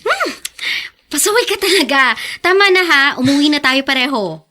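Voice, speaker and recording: A woman's voice: high voice, very animated, normal speed, sharp tone, full character voice. An actress playing a playful, evasive teenage schoolgirl in a radio drama. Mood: teasing, firm. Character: flustered, teasing teenage schoolgirl. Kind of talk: Filipino radio drama acting.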